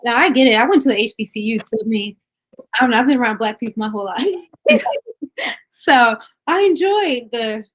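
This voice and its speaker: Slightly high-voiced young woman host. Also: brisk, rough recording. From United States. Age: young adult. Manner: relaxed, warm affirmations, asks questions. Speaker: interview host. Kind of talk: casual interview.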